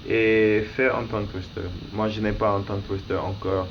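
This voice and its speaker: A young man in his early twenties from the United States, a native English speaker with an American accent, talking casually and haltingly as a language learner answering questions he reads to himself. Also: fairly low pitch, little pitch movement. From United States, raised in Florida. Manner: halting, searching for words. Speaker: language learner making an accent tag video. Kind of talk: casual self-interview to camera. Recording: muffled lo-fi home recording with noise.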